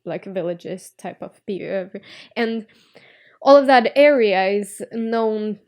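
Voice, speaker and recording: A woman's voice: mid-range voice, slow pace, a little mellow. A woman who grew up in Spain, a guest in a casual interview, who talks in a chatty, opinionated way. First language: Russian.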